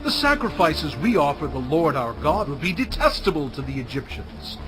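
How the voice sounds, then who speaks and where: An actor playing an earnest, resolute Hebrew prophet, declaiming in a dramatized audio Bible. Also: male voice, fairly high pitch, sing-song, moderate pace, over music.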